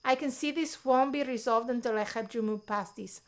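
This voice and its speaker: A woman's voice: fairly high pitch, moderate pace, close to an everyday voice. A woman voicing a confident, teasing young college woman with a sly, theatrical manner in a visual novel. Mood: sly, dramatic.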